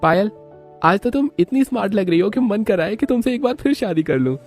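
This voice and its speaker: A man's voice: high voice, very animated, fairly quick, a little mellow, faint music behind. A man playing an affectionate husband in a cartoon story. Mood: adoring. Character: affectionate husband praising his wife, cartoon. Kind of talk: scripted cartoon story dialogue.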